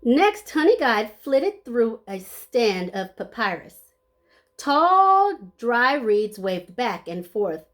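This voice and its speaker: A woman's voice: a little high, very animated, slow, a bit bright. A woman reading a children's story aloud expressively, playing up the sound words and adding short asides to her listeners. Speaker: storybook reader.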